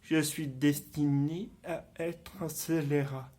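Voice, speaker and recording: An actor playing a bitter, scheming, deformed nobleman, delivering a theatrical Shakespearean monologue in a declamatory, deliberate manner. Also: high voice, a bit bright, exaggerated voice. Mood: quiet, sinister plotting.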